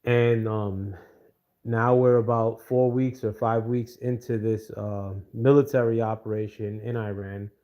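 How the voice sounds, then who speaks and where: A man from America talking through current events on a livestream in a lowish voice. He speaks haltingly and fills gaps with "uh" and "um". Now and then he breaks off to greet viewers by name. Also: fairly even intonation, dull audio. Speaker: livestream host. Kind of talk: casual political commentary.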